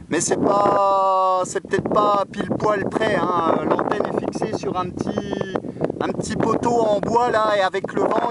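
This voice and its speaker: A man with a distinctly high voice talks as a radio operator, tagging his phrases with little checking words. Clear noise, a rumble with clicks, sits behind him as he chats through the contact. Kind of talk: radio contact chat, spelling out call signs.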